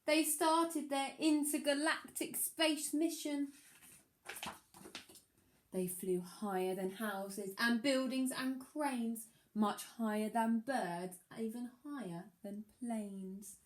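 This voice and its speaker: A woman reading a children's picture book aloud like a primary teacher, expressive, with dramatic emphasis on the rhymes. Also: fairly high pitch, takes it slowly, a little hiss or hum.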